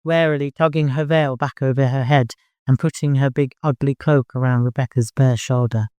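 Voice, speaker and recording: A man narrating fiction as an audiobook, in an expressive, dramatic storytelling manner. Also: medium pitch, normal speed, smooth, dry recording, lo-fi sound.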